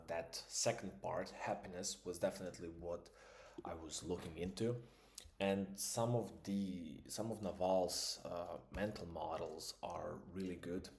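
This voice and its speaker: Guy vlogging, super low voice, loose and rambly, echoey room. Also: darkish tone, a little hiss or hum. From Croatia. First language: Croatian. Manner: loose and thinking aloud, heavy with fillers. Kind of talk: casual reflective monologue.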